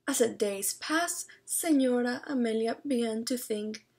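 A young woman reading a picture book aloud to children at home, deliberate and expressive, pausing to ask questions. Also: fairly high pitch, slight background noise. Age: young adult. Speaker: read-aloud storyteller. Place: small room at home, indoors.

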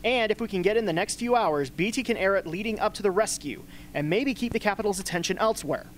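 A man performs a gruff, blunt mentor to young rebels in a radio drama. The delivery is businesslike, recorded with a faint hum. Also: high voice, quick pace, natural voice. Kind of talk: amateur radio play acting. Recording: faint hum and background noise.

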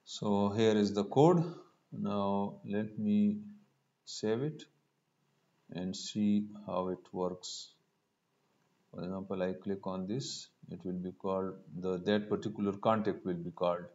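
Slow, low-voiced man teaching coding. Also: fairly even intonation, mellow. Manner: halting, thinking aloud while typing. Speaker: programming instructor. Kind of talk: step-by-step screencast tutorial. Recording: dull lo-fi mic with noise.

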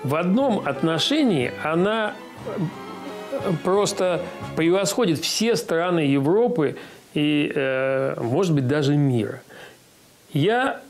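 A man's voice: a little high, lively intonation, slow pace, faint music behind. A man in his sixties giving an interview in an ornate room, talking hesitantly with many filler sounds. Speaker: interviewee, producer. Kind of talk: interview recollection.

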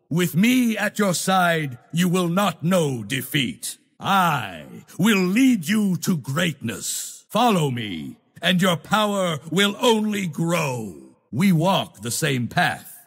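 A commanding holy knight from a fantasy game. His voice is gravelly and husky, sweeping widely in pitch. He declaims with solemn, grandiose conviction, proud and zealous. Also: a bit bright, exaggerated voice. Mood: magnanimous. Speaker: video game character voice. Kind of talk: theatrical fantasy game voice lines.

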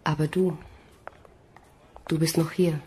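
A woman's voice: sits low, little pitch movement, takes it slowly, slight background noise. A woman playing a smitten, self-conscious young space station recruit in a German science fiction audio drama. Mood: quiet, curious. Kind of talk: German audio drama acting with inner monologue. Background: loud background music.

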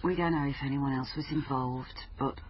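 An actress playing a careful, guarded British police liaison officer in a radio drama, answering hesitantly and briefly. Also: female voice, rumbling low, steady tone, fairly quick. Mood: gentle, careful. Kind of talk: naturalistic radio drama acting. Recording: dull recording, faint background noise.

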